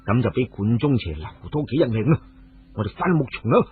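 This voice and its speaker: Radio hero a man acting: wary, decisive commander, very husky voice, band-limited sound with music. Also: mid-range voice, big pitch swings, fairly quick, pitched below the performer's own voice. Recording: muffled phone-like radio audio, music behind.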